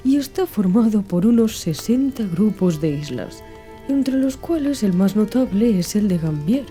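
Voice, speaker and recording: Woman narrator with a very mellow voice. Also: mid-range voice. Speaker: audiobook narrator. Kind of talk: scripted audiobook narration.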